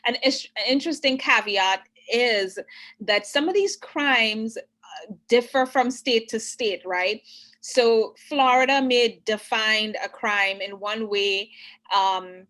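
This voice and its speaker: A woman from Trinidad, an immigration attorney, giving clear explanatory answers in an interview and often checking in with "right?". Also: on the high side, takes it slowly, a bit bright.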